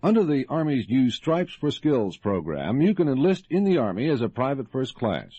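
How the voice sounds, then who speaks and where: A man's voice: medium pitch, sing-song, moderate pace, mellow, no room sound. An American man reading scripted announcements as a radio host. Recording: dull band-limited vintage radio recording.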